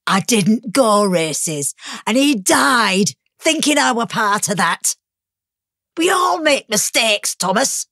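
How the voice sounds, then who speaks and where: Slow, bitter Northern English mother. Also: a little high, very animated, bright, forceful tone, dry recording, studio quality, exaggerated voice. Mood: angry, accusing. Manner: blunt, slangy, sweary. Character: bitter, hard-drinking working-class mother, grieving and defiant. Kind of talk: gritty audiobook drama acting.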